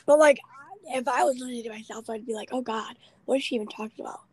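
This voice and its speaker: A young woman in her late teens hosting a podcast, with a raspy voice, chatting in a rambling, self-deprecating way full of fillers. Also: on the high side, fast, darkish tone, slight background noise. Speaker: podcast host. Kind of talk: casual chat.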